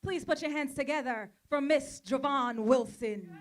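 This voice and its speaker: A woman emceeing an outdoor rally in a park, energetic and coaxing as she introduces the next speaker. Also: high-pitched, normal speed. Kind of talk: emceeing and chant leading.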